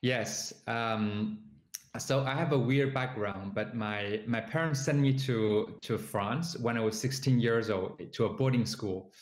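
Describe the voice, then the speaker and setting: A man from southern China speaks English with a Chinese accent as an entrepreneur guest. He talks hesitantly, with many fillers and restarts, at a middle pitch. The room sounds echoey. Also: a little slow. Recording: roomy sound.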